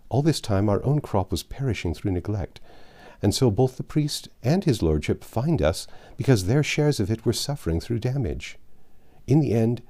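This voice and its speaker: Male reader as grieving peasant woman, archaic speech, bitter and weary, mellow timbre, very expressive intonation, audiobook drama. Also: fairly low pitch. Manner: impassioned archaic storytelling. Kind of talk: dramatic audiobook character reading.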